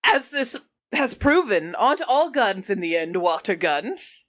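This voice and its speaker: A woman's voice: medium pitch, very animated, a little slow, fairly bright tone. A woman improvising casual in-character banter as the host of a book club in a tabletop role-playing show. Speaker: player hosting an in-game book club.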